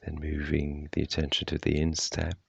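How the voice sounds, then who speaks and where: A man guiding a body scan meditation with gentle, unhurried, measured phrasing and long pauses. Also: very deep voice, completely flat delivery, vocal fry, slightly rough, dry recording, muffled recording. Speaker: meditation guide.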